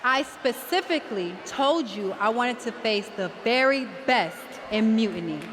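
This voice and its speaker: A woman's voice: on the high side, animated, a little slow, noisy background. A woman playing a confident, assertive wrestler in scripted video game cutscene dialogue. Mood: defiant.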